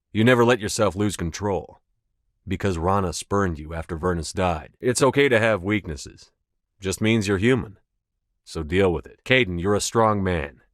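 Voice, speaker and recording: An actor playing a resolute sci-fi military commander in a video game, with calm authority and measured, firm, clipped delivery. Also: male voice, low-pitched, no room sound, clean studio sound, close to an everyday voice. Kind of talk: scripted video game dialogue.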